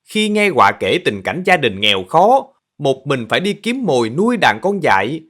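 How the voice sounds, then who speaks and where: A storytelling boy in a children's cartoon. The voice is somewhat high for a male, with very lively swings of pitch as the tale is narrated to friends. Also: normal speed. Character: animated boy telling stories to his friends.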